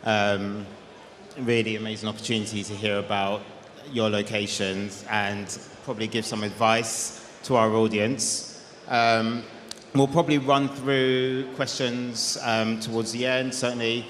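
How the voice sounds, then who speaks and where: A man's voice: on the low side, little pitch movement, a little slow, fairly bright tone. A man moderating a conference panel, hesitant with frequent ums. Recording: reverberant hall.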